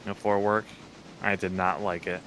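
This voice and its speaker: A man's voice: low-pitched, level tone, moderate pace, a bit bright, slight background noise, rough recording. A man chatting casually with friends during a game stream, often laughing as he talks. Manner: chatty, laughs through words. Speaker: streamer guest. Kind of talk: casual chat while gaming.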